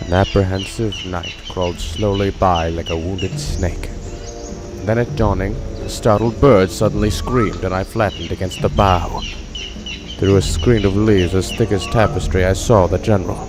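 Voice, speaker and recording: A man's voice: deep voice, fairly even intonation, moderate pace, over music, natural voice. A man playing a tense, weary hunter in a radio drama, telling his ordeal in a grave, dramatic way. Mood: tense, driven. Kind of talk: first-person radio drama narration.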